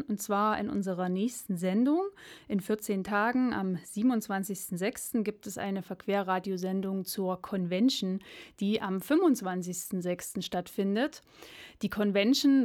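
A woman's voice: medium pitch, darkish tone. Woman announcing a school radio show. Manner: friendly, inviting, a few filler sounds.

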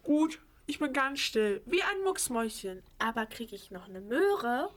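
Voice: mid-range voice, big pitch swings, unhurried, a bit bright, a little hiss or hum. A female performer voicing a meek, hungry pet rabbit in an amateur radio play. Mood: meek, pleading.

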